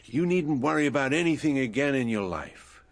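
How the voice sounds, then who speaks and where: A man's voice: mid-range voice, lively intonation, normal speed. An actor playing an earnest, insistent man in a radio drama. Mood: earnest wooing.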